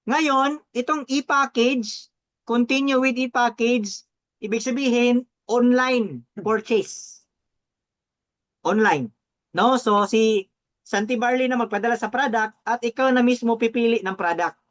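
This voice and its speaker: A man's voice: extremely high pitch, unhurried, fairly bright tone. A man coaching through a live step-by-step tutorial, often checking understanding with short tags, on dull lo-fi audio. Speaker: coach and presenter.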